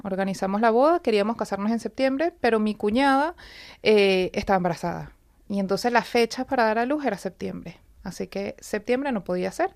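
A woman's voice: medium pitch, animated, a little fast, darkish tone, dry recording. A woman, a radio guest telling a personal story in an earnest, flowing way, speaking in a studio. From Venezuela. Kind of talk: personal testimony in a radio interview.

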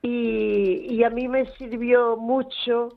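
High-voiced woman on a phone line. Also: flat delivery, slow, vocal fry. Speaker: phone-in caller to a radio show. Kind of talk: casual chat. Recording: thin band-limited phone call.

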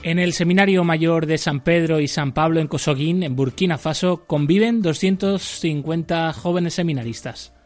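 A man's voice: a little high, normal speed, few pauses. A man presenting a radio programme as its host. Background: music.

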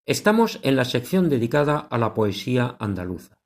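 A man hosting a religious radio program, introducing segments in a measured, devout manner. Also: mid-range voice, very animated. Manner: measured, devout announcer delivery.

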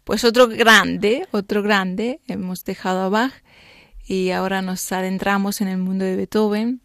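A woman's voice: mid-range voice, fairly even intonation, unhurried, a bit bright, dry recording. A Spanish woman pianist with a faint Italian lilt, chatting thoughtfully as a guest in a radio studio and sprinkling her answers with fillers. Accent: slight Italian lilt on Spanish. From Spain. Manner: thoughtful, explaining with many fillers and "¿no?". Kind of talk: radio interview chat.

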